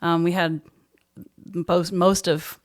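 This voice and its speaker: Lower-pitched woman, casual interview guest. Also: level tone, slow. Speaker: guest, high school teacher and drama director.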